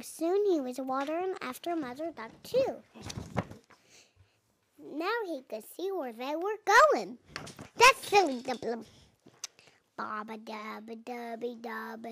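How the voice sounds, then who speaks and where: Little girl of four, bright voice, slow halting delivery, creaky edges, reading a storybook aloud. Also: high voice, lively intonation. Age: young child, four and a half. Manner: halting, sounding out words, repeating. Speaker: child reader. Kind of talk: reading a picture book aloud.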